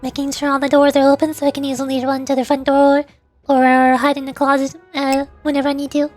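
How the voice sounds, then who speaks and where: A woman's voice: high-pitched, completely flat delivery, a little fast, a little mellow, soft background music. A woman livestreamer chatting casually and playfully in a rambling way while gaming. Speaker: VTuber livestreamer.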